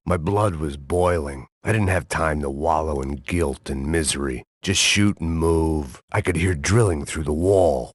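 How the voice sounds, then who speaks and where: Bitter hard-drinking ex-cop, very deep voice, deadpan sardonic noir narration, dry close sound. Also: male voice, no room sound. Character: weary hard-drinking male ex-cop, bitter, middle-aged. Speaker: game protagonist. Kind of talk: noir inner-monologue narration.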